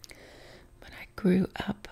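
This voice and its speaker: A middle-aged woman from West Virginia with a softened Appalachian accent, whispering an unhurried question-and-answer chat from her living room couch, close to the mic. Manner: unvoiced whisper, unhurried with many ums. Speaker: ASMR creator. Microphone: close mic, camera framed on torso.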